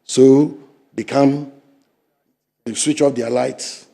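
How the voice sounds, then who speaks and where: Older ghanaian guy, ghanaian english accent, gravelly and dark, punches words then drops low. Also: fairly even intonation, slow. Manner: emphatic and argumentative, swinging between loud stresses and low asides. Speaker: fisheries sector guest. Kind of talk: studio interview.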